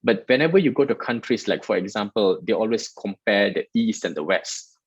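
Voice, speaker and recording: A man's voice: on the low side, expressive, fairly quick, husky, no room sound, lo-fi sound. A man from Malaysia, a guest in an interview, explaining his work at length in an earnest way with many restarts. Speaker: guest, startup festival director. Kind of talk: interview answers.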